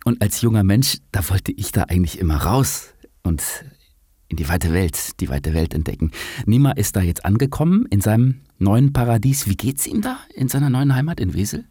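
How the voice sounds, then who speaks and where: A man from Germany hosting a podcast, asking his guest questions in a measured way. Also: on the low side, sing-song, mellow, husky, no room sound, clean studio sound. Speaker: podcast host. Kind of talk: podcast conversation.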